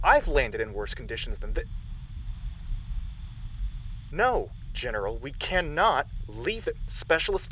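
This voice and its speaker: Casting: man to play determined, defiant mission-control major for sci-fi audio drama; sharp, forceful delivery with weary, hushed drops, over faint hum. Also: fairly high pitch, rough recording. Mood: defiant, commanding. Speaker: voice actor in audio roleplay.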